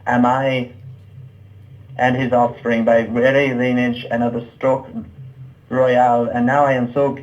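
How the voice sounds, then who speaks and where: A man's voice: mid-range voice, a little mellow, dull audio, lightly acted. A man playing a wretched, lovelorn medieval knight in a puppet show, reciting old verse in a declamatory way.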